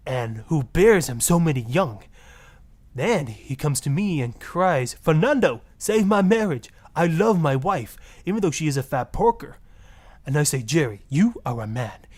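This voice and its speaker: Radio comedy guest: preachy, pompous Latin-accented marriage guru, swooping pitch, easily offended. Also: male voice, darkish tone, slightly rough. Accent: put-on Latin. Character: flamboyant self-righteous fake-Latin marriage guru and pimp. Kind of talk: parody radio talk-show guest.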